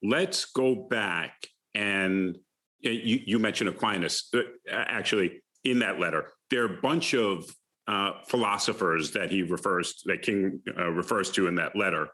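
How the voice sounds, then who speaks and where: Bright-voiced, low-pitched American man in his fifties. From the Bronx, New York City, United States. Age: 50s. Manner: halting, searching for words. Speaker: alumni guest, retired finance professional. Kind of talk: reflective conversational interview answers.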